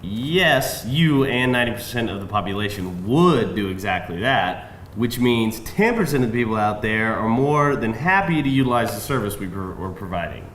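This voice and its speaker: An actor playing a smooth, defensive man pitching a shady job in a live screenplay table read. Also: animated, moderate pace, a bit bright. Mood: defensive, persuasive. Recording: roomy live venue, faint noise.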